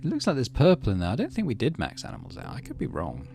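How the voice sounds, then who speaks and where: A man's voice: mid-range voice, wildly swooping pitch, fast, gravelly, darkish tone, slight background noise. A man hosting a video game playthrough, reading the story text aloud and adding casual commentary. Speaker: gaming channel host.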